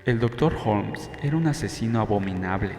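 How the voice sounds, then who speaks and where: A man with a lowish voice narrates a novel in a measured, dramatic way. The sound is roomy with a long reverb, and faint music plays underneath. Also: expressive, darkish tone. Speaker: audiobook narrator. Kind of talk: scripted first-person novel narration.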